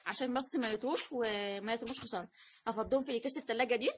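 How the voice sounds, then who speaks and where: Egyptian woman, high youthful pitch, quick casual vlog chat, animated, repetitive emphasis, slightly noisy recording with crinkling packets. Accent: Egyptian Arabic. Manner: animated, repeats phrases for emphasis. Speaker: vlog host.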